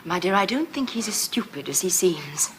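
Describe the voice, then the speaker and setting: An actress playing a poised, scheming aristocratic countess who speaks with refined, knowing politeness in a 1970s television drama. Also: female voice, fairly low pitch, very animated, normal speed, noisy background. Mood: cool, scheming.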